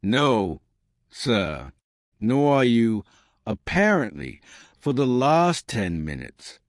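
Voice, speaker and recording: Man voicing a composed, dry-witted young gentlewoman. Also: medium pitch, big pitch swings, dry recording. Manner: unhurried, matter-of-fact, dry. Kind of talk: audiobook character dialogue.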